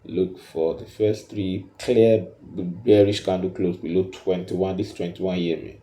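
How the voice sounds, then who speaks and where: A man giving a step-by-step tutorial, explaining haltingly and repeating himself as he points things out. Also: sits low, steady tone, dark tone, roomy sound, slight background noise. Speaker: trading tutor.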